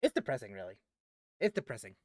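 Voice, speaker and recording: A man's voice: medium pitch, huge pitch swings, fast, no room sound, clean studio sound. A man streaming a game and ranting casually, rambling with many restarts and fillers. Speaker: gaming streamer.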